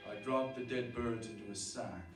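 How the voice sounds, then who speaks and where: A man's voice: mid-range voice, moderate pace, over music, natural voice. Man acting an earnest, shaken man, echoey recording. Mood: grim, awed. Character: earnest, shaken family man, bitter toward doubters. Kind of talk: radio drama acting and first-person narration. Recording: distant echoey room, clearly noisy amateur recording.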